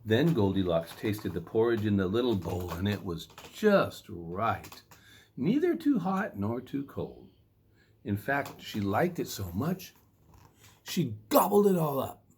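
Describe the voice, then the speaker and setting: A man reading a children's story in a playful, expressive way with dramatic emphasis. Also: lowish voice, slow pace, slight background noise.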